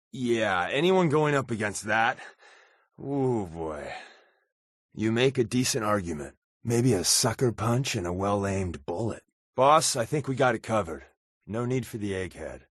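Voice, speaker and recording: A man playing a wry, sarcastic spy companion in a video game, with a deadpan, flippant delivery. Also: on the low side, measured pace, no echo, studio quality.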